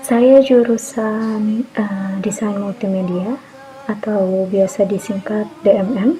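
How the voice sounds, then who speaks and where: A young woman student from South Tangerang speaks slowly and thoughtfully in a testimonial interview. Her tone is mellow and dark. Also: mid-range voice, steady tone, poor audio. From South Tangerang, Indonesia. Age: young adult. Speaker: first-semester student.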